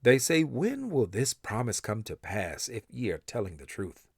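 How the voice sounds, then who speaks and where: A man's voice: mid-range voice, big pitch swings, moderate pace, breathy edge, no room sound, studio quality. A man reading translated scripture aloud with a solemn, emphatic and dramatic delivery. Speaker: reader of scripture. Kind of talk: expressive scripture recitation in English translation.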